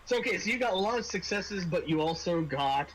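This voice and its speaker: A man with a forward, bright voice sitting a little high in pitch runs a tabletop role-playing game. He moves between explaining dice rules and narrating scenes as game master. Faint noise sits behind the voice. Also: lively intonation, brisk, rough recording.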